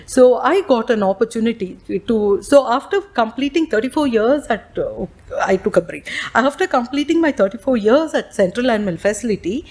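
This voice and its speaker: Loud-voiced woman recalling her career. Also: on the high side, animated, moderate pace. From India. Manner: loud, many restarts and fillers. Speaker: guest speaker. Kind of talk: reminiscing talk to students.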